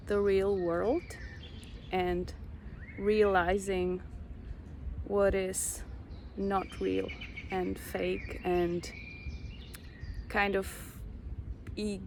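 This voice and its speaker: Slow, gravelly-voiced Czech woman reflecting. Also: medium pitch, darkish tone, a little hiss or hum. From Czech Republic. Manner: slow, reflective, pausing often. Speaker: vlogger. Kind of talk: personal spiritual storytelling.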